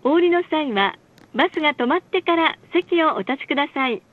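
Female bus announcer, very high voice, formal and measured, heard through a narrow lo-fi onboard speaker. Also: animated, no room sound. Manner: polite, formal, measured enunciation. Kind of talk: scripted bus stop announcements and ad reads. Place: recorded announcement played inside a bus. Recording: muffled, lo-fi bus speaker recording.

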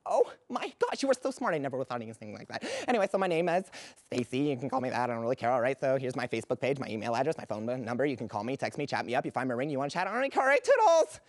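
Woman playing a scatterbrained rapid-fire chatterbox. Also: very deep voice, animated, a little mellow, dry recording, studio quality. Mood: gushing, flirty. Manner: gushing, breathless run-on chatter.

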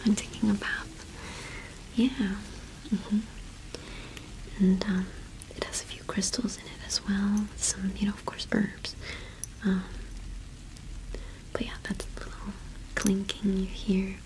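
A young woman doing an ASMR shopkeeper roleplay in a gentle, unhurried whisper with pauses, close to the microphone. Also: mid-range voice, little pitch movement, poor audio. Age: young adult. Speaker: ASMR performer playing a cottage witch shopkeeper.

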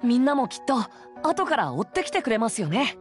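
A man's voice: very high voice, sing-song, brisk. A man playing a shy, earnest teenage boy in an anime visual novel game, speaking hesitantly and politely with an eager manner. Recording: background music under the voice.

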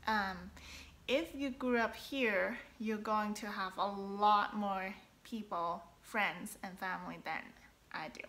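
A young woman from Hong Kong giving casual business tips in a relaxed, chatty way, with many ums, filmed at close range in a bedroom. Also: mid-range voice, takes it slowly. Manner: chatty, many ums, talks with her hands. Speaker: online boutique seller. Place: bedroom, indoors, seated on a sofa.

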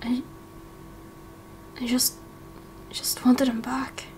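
A woman voicing a hesitant, apologetic young man in a game roleplay, speaking in halting, hushed phrases. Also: on the high side, flat delivery, breathy edge, full character voice. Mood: remorseful. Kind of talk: Minecraft roleplay voice acting.

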